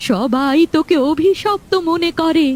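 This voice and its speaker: A woman's voice: extremely high pitch, lively intonation, a little slow, darkish tone. A woman playing a worried, cautious older village mother in a cartoon fairy tale, fretful and admonishing. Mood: refusing, worried. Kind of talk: animated fairy tale character acting.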